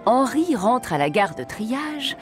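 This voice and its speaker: A woman tells a cartoon story in a warm, storybook manner. Her voice is somewhat high and lively, and music plays beneath it. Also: slow pace. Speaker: narrator.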